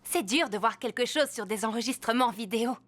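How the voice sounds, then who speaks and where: An actress playing a brisk, professional police officer in a cartoon dub, direct in manner. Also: female voice, a little high, fairly bright tone. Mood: admiring.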